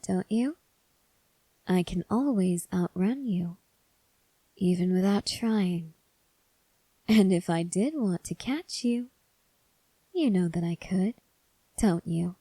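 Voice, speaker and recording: Girl doing a vampire lady asmr, breathy and whispery, real slow, coaxing and kinda menacing, super dry close mic. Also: lowish voice, no room sound. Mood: teasing menace. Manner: slow, hushed, intimate, coaxing, often dropping into whispers. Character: soft-spoken, teasing, menacing female vampire huntress with an axe. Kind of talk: whispered ASMR roleplay addressed to the listener.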